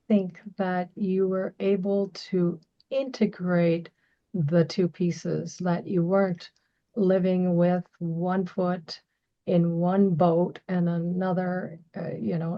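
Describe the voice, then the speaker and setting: A woman's voice: fairly low pitch, steady tone, takes it slowly. A woman with a Canadian accent who grew up speaking Bengali hosts the interview, asking questions and encouraging her guest with frequent mm-hmms. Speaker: podcast host. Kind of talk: conversational interviewing. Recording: slightly dull lo-fi audio.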